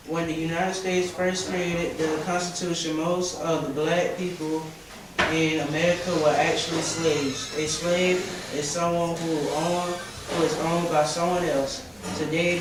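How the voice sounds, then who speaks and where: Slow, halting seventh-grade boy in echoey room. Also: a little high. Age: 12-13 years old, seventh grader. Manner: halting, stumbles over words and corrects himself. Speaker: student contestant giving a speech. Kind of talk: read-aloud school speech. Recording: echoey room from far off, noisy background.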